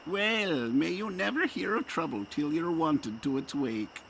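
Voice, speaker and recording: A man's voice: a little high, lively intonation, unhurried, creaky, a bit bright, a little hiss or hum, full character voice, low-quality recording. A man playing a pious, curious and sly country priest with an Irish accent in an audio drama. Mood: courteous. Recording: music underneath.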